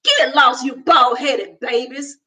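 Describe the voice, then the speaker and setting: A spirited young girl calls out defiantly in a high, bright voice, as a woman reading a storybook puts her on. She is stubborn and quick to answer back. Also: slightly rough, exaggerated voice. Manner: hollering, defiant. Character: ten-year-old girl, bold and stubborn.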